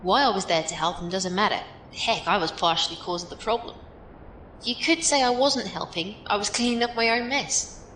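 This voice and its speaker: A woman's voice: moderate pace, a bit bright, lightly acted. A woman playing a plainspoken, philosophical survivor in an audio drama, giving encouraging, matter-of-fact advice. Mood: earnest, reassuring. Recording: roomy echo, faint background rumble.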